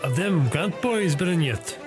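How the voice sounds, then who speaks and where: Dim Irish pub regular, Donegal accent, grumbling, animated pitch, comic radio drama, fiddle music. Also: male voice, a little slow. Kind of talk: radio play comic acting.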